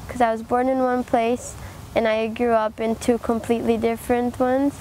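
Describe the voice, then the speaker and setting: A young woman student speaks in a fairly high voice, calm and thoughtful. Faint traffic noise sits in the background. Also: normal speed, low-quality recording. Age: young adult. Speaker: university student.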